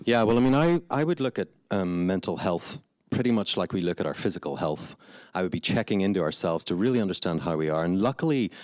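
Deep-voiced earnest Belfast man. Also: lively intonation, quick pace, no room sound. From Northern Ireland. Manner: earnest, passionate, restarts phrases often. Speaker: meditation teacher and studio founder. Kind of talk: live panel discussion. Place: indoor event space before a live audience.

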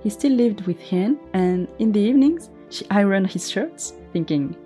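A woman narrating a story in a gentle, measured, scripted way. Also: medium pitch, lively intonation. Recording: faint music underneath.

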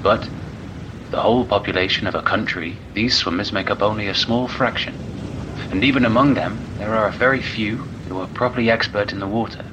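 A man playing a formal, old-fashioned lecturer in an audio drama monologue, speaking in a measured, rhetorical way with loud rumbling behind. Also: noisy background, lightly acted. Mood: measured, didactic. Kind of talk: slow dramatic monologue reading.

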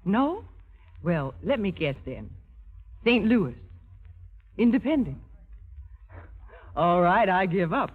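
Female wartime entertainer, teasing and encouraging, very animated, husky, 1950s radio acting, tinny recording. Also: medium pitch, slow pace, long pauses, exaggerated voice. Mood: gentle, teasing. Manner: playful, encouraging stage patter with questions.

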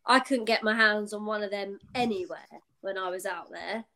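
A woman's voice: medium pitch, normal speed, pushed and bright. A chatty British woman in her twenties, with a mid-range voice, rambles through her point full of likes and ums. Her talk is casual and easygoing. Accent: British English. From United Kingdom. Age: 20s. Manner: chatty, rambling, many fillers. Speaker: podcast co-host. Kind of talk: casual chat.

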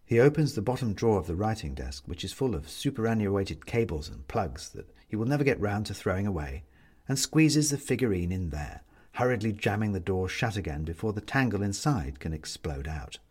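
Male narrator for literary fiction. Slightly raspy tone, animated pitch movement, dry wit, deliberate phrasing. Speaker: narrator reading a story. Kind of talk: scripted literary storytelling narration.

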